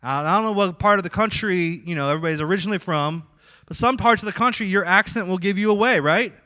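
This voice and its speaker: A man with a Midwestern accent preaches a sermon in a high, very bright voice. He acts out the voices in his story and swings between loud, pressing lines and quiet ones. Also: fast talker. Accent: Midwestern American. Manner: animated, acts out inner voices with swings in loudness. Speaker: pastor.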